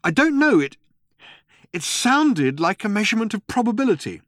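A man voicing an excitable, exasperated male space traveller with urgent, indignant speech in an audiobook. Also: sits high, moderate pace, dry recording, studio quality, voice pushed up. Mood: baffled, excited.

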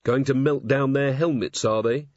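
A man's voice: medium pitch, fairly even intonation, moderate pace, darkish tone, dry recording, close to an everyday voice. A man playing a dry, guarded werewolf woman. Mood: curt. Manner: dry, curt. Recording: slightly dull lo-fi audio.

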